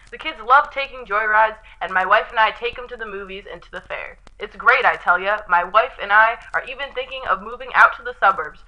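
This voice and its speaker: Woman acting a bright, forceful 1920s radio voice. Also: medium pitch. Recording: dull lo-fi recording.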